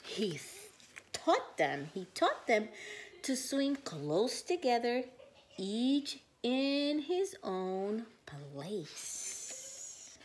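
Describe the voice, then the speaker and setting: A woman teacher reading a children's storybook aloud, expressive and questioning, pausing to think out loud. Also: high-pitched, a little hiss or hum.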